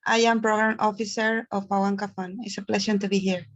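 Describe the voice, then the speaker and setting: A woman program officer from Mexico introducing herself on a video call in a friendly, brisk way. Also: medium pitch, level tone, slight background noise. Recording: dry, slightly dull call audio.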